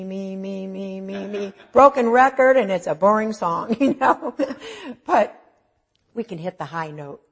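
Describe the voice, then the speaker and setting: A woman with a low voice preaching an informal, earnest sermon, swinging from loud to soft.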